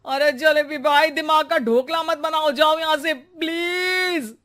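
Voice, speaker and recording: A woman's voice: sits high, fairly even intonation, measured pace, a bit bright. A woman voicing an exasperated husband in a cartoon, fed up and dramatically pleading. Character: exasperated husband, employer of a maddening housemaid. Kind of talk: Hindi cartoon voice acting.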